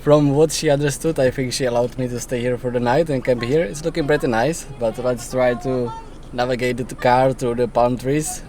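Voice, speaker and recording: A male travel vlogger speaks off the cuff in an ordinary mid-pitched voice. He is halting, working out his thoughts aloud, with some outdoor and vehicle noise behind him. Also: steady tone, a little mellow. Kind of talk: casual, unscripted vlog talk. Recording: noisy outdoor and in-car vlog audio.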